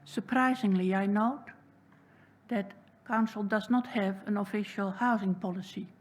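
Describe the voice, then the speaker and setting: Dutch-accented woman making a measured submission. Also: mid-range voice, fairly even intonation. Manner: measured, deliberate, earnest. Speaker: public submitter. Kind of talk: prepared public submission. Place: council chamber.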